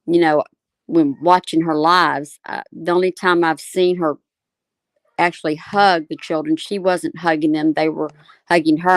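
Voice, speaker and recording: Female panelist, even flat intonation, tentative, conversational, no room echo. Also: low voice. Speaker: discussion panelist. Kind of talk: casual discussion.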